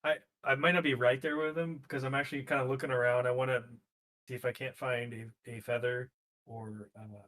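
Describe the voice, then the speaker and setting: A man's voice: medium pitch, fairly even intonation, fairly quick, a bit bright. A man in casual role-playing game chat, explaining things in a hesitant, conversational way as a player.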